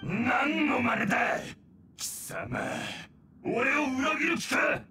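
An actor playing a treacherous, sneering masked villain in a Japanese anime game, easily rattled and prone to shouting. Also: male voice, very high-pitched, slow pace, full character voice. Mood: angry. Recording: echoey, distant, noisy game scene.

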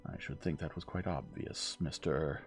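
A haughty old lady of high society, acted out by a male audiobook reader, frigid and full of disdain. The delivery is biting and swoops in pitch, with a gravelly edge to the voice. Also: animated, normal speed, soft background music, full character voice. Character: haughty, venomous, wealthy old woman, frigid snob.

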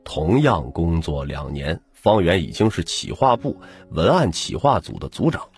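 A man with a husky voice narrating a novel in dramatic first-person storytelling as an audiobook reader. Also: low voice, very animated, normal speed. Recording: music bed under the narration. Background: background music.

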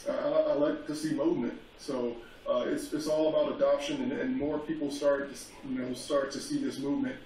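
A man's voice: mid-range voice, vocal fry, darkish tone. A man speaking as a guest on a panel, hesitant, with many fillers and restarts. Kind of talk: casual panel talk. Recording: echoey remote call, faint noise, far from mic.

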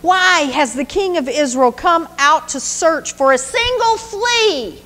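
A woman's voice: fairly high pitch, lively intonation, a little slow, long reverb tail. A woman voicing a young male warrior who taunts his enemies in a sing-song, mocking way. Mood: pleading.

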